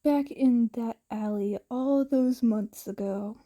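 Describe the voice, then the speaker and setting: A calculating male villain, voiced by a woman narrator, speaking slowly in a muted tone. He is calm and coldly thoughtful, in the manner of an audio story. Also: fairly high pitch.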